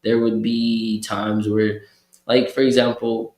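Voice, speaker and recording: A man's voice: on the low side, flat delivery, slow, a little mellow. A young man from Kenya talking as a guest in a casual interview, loose and conversational with many fillers and restarts. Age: young adult. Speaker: guest, community program worker. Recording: roomy echo.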